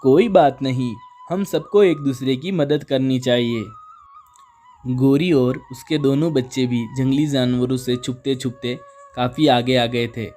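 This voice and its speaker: A man's voice: medium pitch, fairly even intonation, measured pace, dark tone. Male storyteller over background music. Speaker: narrator. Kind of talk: scripted children's moral story narration. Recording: music under the voice.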